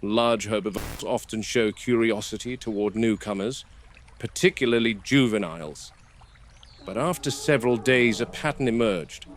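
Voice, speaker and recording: A man playing a stern, cautious game warden, speaking in a measured, matter-of-fact way as in a scripted found-footage field log. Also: lowish voice, soft background music, close to an everyday voice. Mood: measured, observant. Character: stern, cautious male game warden logging park animal observations.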